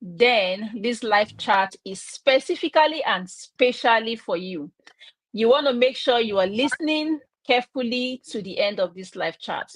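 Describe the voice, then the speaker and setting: A woman's voice: on the high side, lively intonation, measured pace, no room sound. A woman from Nigeria hosting a live online chat, upbeat and inviting.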